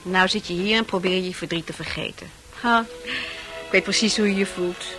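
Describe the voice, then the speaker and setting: An actress playing a forward, coaxing woman who is a bar regular in a Dutch radio drama, familiar and expressive. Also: on the low side, brisk, close to an everyday voice, rough recording. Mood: consoling. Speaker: actor in a radio play. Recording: music playing behind.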